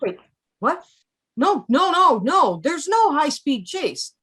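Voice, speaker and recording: Lady reading as careful fussy scientist, protesting, bright lively higher voice. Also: takes it slowly, heavily acted. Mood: alarmed protest. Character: fussy, cautious marine scientist who objects to violence. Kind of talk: amateur script table read.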